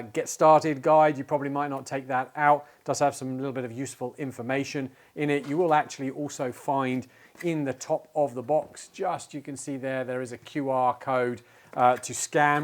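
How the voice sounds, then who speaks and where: A man in his forties giving a casual, explanatory product walkthrough with hesitant phrasing, recorded in an indoor studio. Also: mid-range voice. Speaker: tech channel presenter. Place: indoor studio with plain backdrop.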